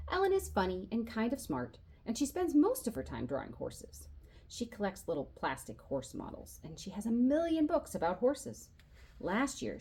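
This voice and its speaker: A woman reads a children's storybook aloud in a fairly low, slightly husky voice. Her delivery is animated and expressive, rising and falling widely as she narrates as a young boy. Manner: animated, acts out dialogue. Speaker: library storyteller reading a children's novel aloud.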